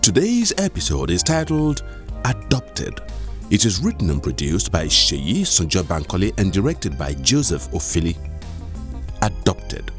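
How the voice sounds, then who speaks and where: A male announcer introduces a radio drama in a formal, measured way. His voice is fairly low but swings widely in pitch. Soft music plays behind him. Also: huge pitch swings. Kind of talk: scripted programme announcement.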